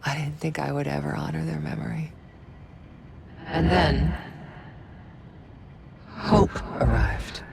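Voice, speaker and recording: A woman's voice: deep voice, slow, gravelly, pauses often, exaggerated voice. An actress playing a guarded, bitter woman spy in a video game, speaking with confessional intensity. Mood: bitter, solemn. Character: guarded woman spy under interrogation, bitter, defiant, haunted. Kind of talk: dramatic video game acting. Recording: heavy background noise and ambience.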